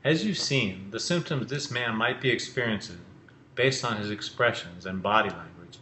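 Older man, bright voice, roomy echo. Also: lowish voice, normal speed. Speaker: presenter, mental health educator. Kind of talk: educational explainer to camera. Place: small office, indoors. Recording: roomy echo with faint noise.

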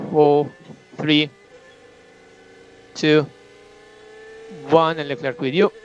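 A man working as a race engineer, giving clipped instructions and countdowns to a driver over team radio. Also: a little high. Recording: dull noisy motorsport radio link.

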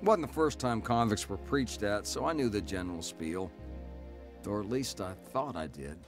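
A man's voice: fairly low pitch, lively intonation, normal speed, lightly acted. An actor playing a hardened male convict telling his life story in a scripted radio drama. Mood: wry, hard. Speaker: narrator and lead actor. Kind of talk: scripted first-person radio drama narration. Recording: music playing under the voice.

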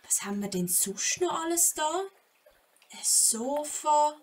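A woman's voice: sits high, lively intonation, unhurried, a little mellow. A woman with a Swiss German accent acting a bold, impulsive, cheeky young girl adventurer in a children's audio play. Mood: excited.

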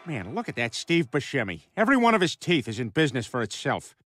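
An actor playing a crude, dim-witted, boisterous middle-aged suburban father in an animated comedy. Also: medium pitch, quick pace, dry recording. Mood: deadpan.

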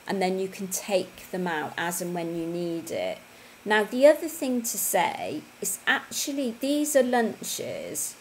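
A woman's voice: medium pitch, darkish tone, a little hiss or hum, poor audio. A woman, hosting a casual fitness livestream from home and chatting to viewers. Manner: chatty, conversational, with tag questions. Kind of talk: casual livestream chat reading viewer comments. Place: home room, indoors.

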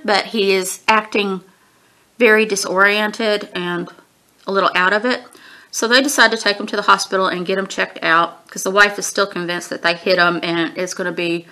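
A woman's voice: mid-range voice, bright, forceful tone. Chatty sniffly woman reviewing a book. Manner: chatty, rambling, frequent ums and throat-clearing. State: sniffly from allergies. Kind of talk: casual spoken book review. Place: small room at home, indoors. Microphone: webcam at desk distance.

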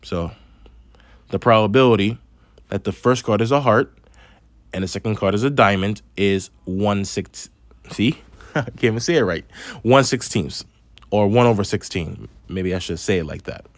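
Male math teacher, lowish voice, slight lisp, patient step-by-step delivery, dry close-mic voice-over. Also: normal speed, long pauses, dry recording. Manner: patient, step-by-step explaining with asides. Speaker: math instructor. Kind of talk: screen-recorded math lesson.

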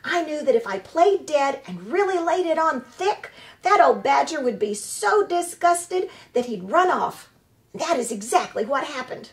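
A woman's voice: sits high, sing-song, measured pace, voice pushed up. A woman voicing a dramatic, show-off female opossum who gushes about acting. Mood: theatrical. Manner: gushing, theatrical.

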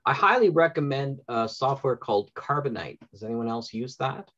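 A man's voice: mid-range voice, expressive, moderate pace, a bit bright. A middle-aged man talking informally as the host of a panel. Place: indoor room at home, on a video call. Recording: dull lo-fi video call audio.

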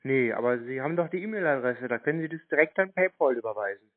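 A man's voice: medium pitch, lively intonation, quick pace, no echo. A man in a casual phone conversation, giving plain short replies and questions through a narrow phone-like sound. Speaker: scam baiter posing as customer.

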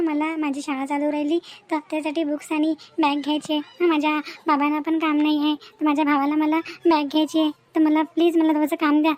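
A woman playing a high-pitched pleading poor schoolgirl. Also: darkish tone, natural voice. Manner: pleading, polite, insistent. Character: poor, proud, earnest schoolgirl begging for work. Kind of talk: animated moral story dialogue.

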